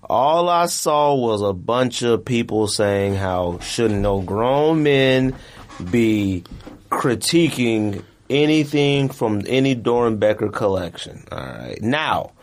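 A man co-hosting a sneaker podcast, talking in casual, slangy banter with a teasing manner. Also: lowish voice.

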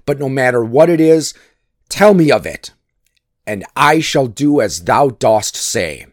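A man voicing a mighty, proud thunder god from a myth, rough and blustering in manner. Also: mid-range voice, big pitch swings, slow, a bit bright, no room sound, clean studio sound, heavily acted. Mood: resolute. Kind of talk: dramatic myth storytelling.

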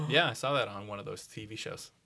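A man's voice: fairly low pitch, animated, normal speed, creaky, bright, forceful tone, husky, no room sound. A man chatting casually as a podcast guest, slangy and irreverent. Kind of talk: casual chat.

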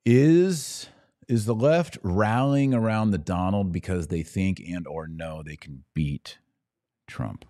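An American man with a deep, dark-toned voice talks like a podcast host on a live show. He is conversational and opinionated, reading out comments and answering with emphatic repeats. The sound is close and dry, with very little room. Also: slow pace, dry recording.

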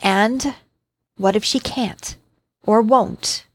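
A man's voice: sits high, extremely slow, no room sound, clean studio sound, pitched above the performer's own voice, full character voice. A man reading an audiobook and voicing Sasha, an indignant young woman telepath who speaks emphatically and asks pointed questions.

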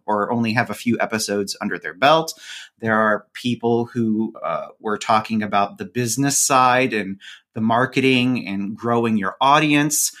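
Mid-pitched man explaining things conversationally. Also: pushed and bright. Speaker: podcast guest, podcaster. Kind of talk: casual interview chat.

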